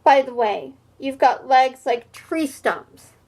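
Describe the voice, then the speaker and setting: Storybook elephant bully played by a woman: male, brash and smirking, emphatic taunts, high-pitched, unhurried. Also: expressive, a little mellow, full character voice. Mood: taunting. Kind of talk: children's storybook read-aloud.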